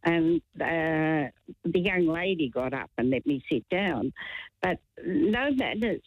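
A woman's voice: deep voice, animated, slow, no echo. A woman in her seventies calls in over a tinny phone line, chatty and indignant. Her voice comes through dry and thin. She rambles along with cheerful self-mockery. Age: 70s. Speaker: radio caller. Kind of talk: phone-in chat. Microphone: telephone.

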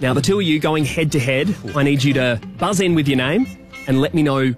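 A man's voice: fairly high pitch, a little fast, faint music behind. A man co-hosting a radio show, running a quiz with casual banter.

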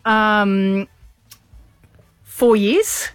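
A woman's voice: medium pitch, extremely slow, dry recording. A woman with an Australian accent co-hosting radio in casual banter. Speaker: radio co-host. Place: radio studio.

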